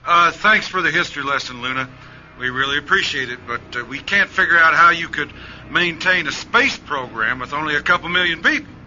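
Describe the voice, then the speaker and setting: A man's voice: fairly high pitch, animated, brisk, sharp tone, a little hiss or hum. A man playing a formal, suspicious spaceship commander in a radio play, stiffly courteous and guarded. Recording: dull band-limited sound, music behind.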